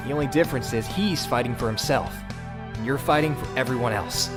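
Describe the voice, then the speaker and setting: A man's voice: medium pitch, brisk, over music, natural voice. Earnest young hero leader played by a man. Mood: urging, earnest. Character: seasoned young male superhero leader, earnest and determined. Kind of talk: dramatic motion comic voice acting.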